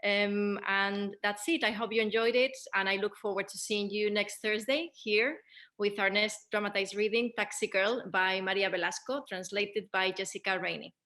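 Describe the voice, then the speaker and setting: A woman with a voice of average pitch hosts a theatre event. She speaks politely and without a script, pausing between short phrases as she gives thanks and announcements. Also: steady tone, fairly bright tone. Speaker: host of a theatre Q&A.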